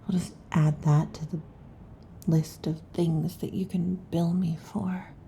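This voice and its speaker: A woman voicing a restless, irritable female snake-tailed lamia in an ASMR roleplay, huffy and dramatic, often slipping into soft whispers. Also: lowish voice, level tone, takes it slowly, gravelly, a little hiss or hum. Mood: sulky.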